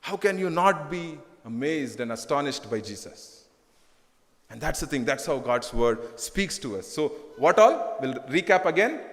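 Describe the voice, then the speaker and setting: A man's voice: a little high, very animated, a little slow, fairly bright tone. A man with an Indian English accent preaching a fervent sermon to a congregation, repeating phrases and rising to emphatic bursts. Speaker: pastor. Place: church hall. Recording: long reverb of a church hall.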